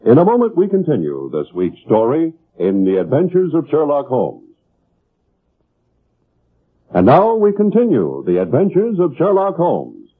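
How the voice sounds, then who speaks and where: A man's voice: on the high side, huge pitch swings, unhurried, husky. A man announcing a drama programme in the style of old-time radio. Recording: muffled, lo-fi vintage radio recording.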